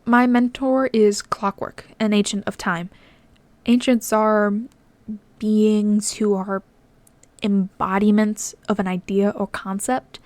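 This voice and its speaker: A woman voicing an earnest young half-ghost man who explains himself slowly and gently in a storybook reading. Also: mid-range voice, little pitch movement, no room sound, lightly acted. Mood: earnest, explanatory.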